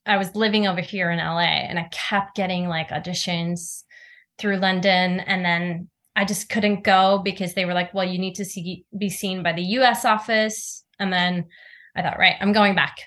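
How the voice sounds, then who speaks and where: A bright, clear-toned woman in her thirties, from Norway, talking as an interview guest. She chats loosely and animatedly, peppering her story with "like" and easy laughter. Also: lowish voice. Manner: chatty, full of "like", laughs easily. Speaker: actor guest. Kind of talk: casual interview chat.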